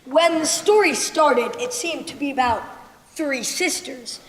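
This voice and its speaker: Stage sultan, played by a young woman: imperious, declamatory, high voice, long hall reverb with faint noise. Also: unhurried. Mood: impatient, commanding. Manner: commanding, declamatory. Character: imperious, impatient male sultan listening to tales. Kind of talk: stage play acting. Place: school stage play in a large hall.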